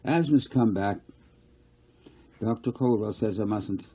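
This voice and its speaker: Storybook schoolboy, read by a man: earnest, courteous, simply spoken, gravelly edge. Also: on the low side, a little slow, mellow. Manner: brief, earnest replies. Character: earnest, polite young boy with asthma.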